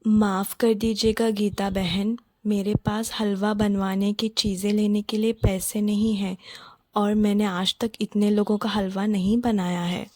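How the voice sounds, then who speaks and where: A woman voicing a humble, meek poor maid and mother in a children's cartoon, deferential, apologetic and soft-spoken. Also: medium pitch, flat delivery, unhurried, dry recording, close to an everyday voice. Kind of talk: Hindi children's cartoon story acting.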